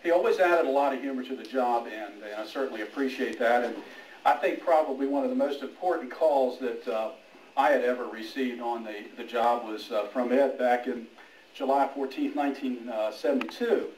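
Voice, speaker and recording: A middle-aged man gives an informal speech to honor a colleague, with a halting, storytelling delivery. His medium-pitched voice is picked up from a distance in an echoey room with a little background noise. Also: a little mellow. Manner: halting, anecdotal, with quoted dialogue. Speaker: police major giving a retirement speech. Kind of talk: informal speech with humorous anecdotes. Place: indoor room at a retirement party.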